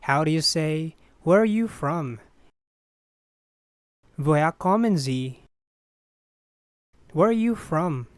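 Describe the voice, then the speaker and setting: A language teacher delivers a phrase drill at a very slow pace. The voice sits at a middle pitch and sets each phrase apart deliberately. The sound is dry, with no room around it. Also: animated, no room sound. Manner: deliberate, clearly separated phrases repeated. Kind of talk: language lesson phrase drill, alternating German and English.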